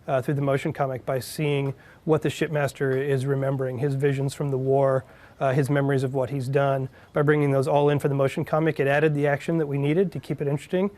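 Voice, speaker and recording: A man talks as a writer in a behind-the-scenes interview. His pitch is average and stays very even. He explains things thoughtfully, pausing often with hesitant filler sounds. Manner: hesitant, thoughtful, frequent uhs.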